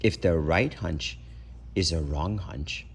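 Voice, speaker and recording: A man's voice: low voice, takes it slowly, vocal fry, slightly rough. A man reading a children's storybook aloud expressively, as a parent would for kids.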